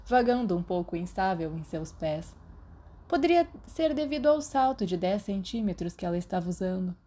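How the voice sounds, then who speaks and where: A woman with a Brazilian Portuguese accent reads fiction aloud in a calm, even manner. Her voice is low-set and mellow, with a warm, soft tone. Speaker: podfic reader. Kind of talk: scripted fiction narration.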